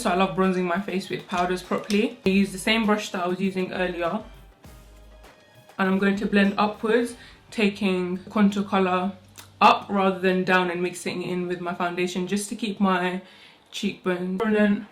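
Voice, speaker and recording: A young woman talks quickly and casually as she does her makeup at home. Her voice still sounds sleepy. Faint music plays in the background. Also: mid-range voice, level tone. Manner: fast, chatty, rushed talk with fillers. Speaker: makeup vlogger. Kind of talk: casual get-ready-with-me makeup tutorial. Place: home, indoors. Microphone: own microphone.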